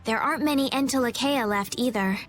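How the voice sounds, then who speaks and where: Woman playing a gentle, high-voiced young princess. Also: brisk, a little hiss or hum, close to an everyday voice. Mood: gentle, earnest. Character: gentle, earnest young princess, polite and caring. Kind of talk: English video game voice acting.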